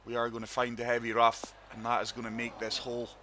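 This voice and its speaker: A man with a sore throat, hosting his gaming channel and narrating a golf game in calm, steady play-by-play. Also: mid-range voice, fast. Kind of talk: casual gameplay commentary.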